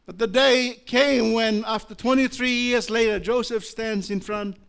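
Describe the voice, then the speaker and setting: A man with an Australian accent preaching a sermon as a pastor, moving from low, measured talk to loud, impassioned preaching. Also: fairly even intonation, creaky, smooth.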